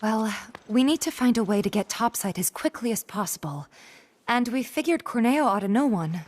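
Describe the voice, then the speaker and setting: An actress playing an earnest, determined young woman adventurer in a video game, with a breathy voice. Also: fairly high pitch, lively intonation, normal speed. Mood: earnest, curious.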